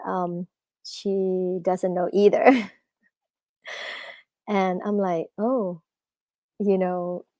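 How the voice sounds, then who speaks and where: A woman's voice: fairly low pitch, darkish tone, no room sound, studio quality. A woman, an author giving a live talk at a book festival, hesitant with many fillers. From Thailand. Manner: hesitant, many fillers, halting non-native English.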